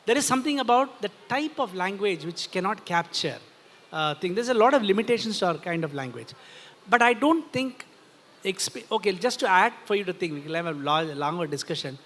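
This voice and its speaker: A man's voice: high voice, expressive, a little fast, fairly bright tone, a little hiss or hum. A man with an Indian accent explains ideas in a didactic way as a panel speaker at a festival discussion, checking that listeners follow. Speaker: panel speaker, philosopher and author. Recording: reverberant hall sound.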